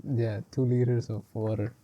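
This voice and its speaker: A man's voice: medium pitch, level tone, moderate pace, dull audio. A man co-hosting a casual podcast, rambling along with lots of fillers and laughing often. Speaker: podcast co-host. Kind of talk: casual chat.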